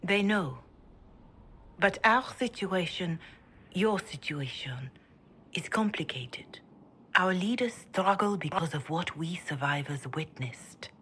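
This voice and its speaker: A hushed, grave old priestess. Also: female voice, fairly low pitch, lively intonation, a little hiss or hum. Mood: solemn. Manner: soft, measured, half-whispered. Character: calm elderly woman cleric, gentle and grave.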